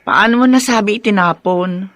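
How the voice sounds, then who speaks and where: A woman with a Filipino accent acting an anxious, doubtful wife in a radio drama, emotional and expressive in manner. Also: mid-range voice, normal speed, no echo, close to an everyday voice. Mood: worried. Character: anxious, doubtful wife unsure about adopting a foundling.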